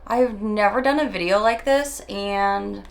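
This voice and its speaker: A woman talks straight to camera while doing her makeup, her morning voice still raspy and her pitch sitting in an ordinary middle range. She rambles a little nervously, stumbles over words and laughs at herself along the way. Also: measured pace. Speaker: beauty vlogger. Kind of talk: chatty get-ready-with-me monologue.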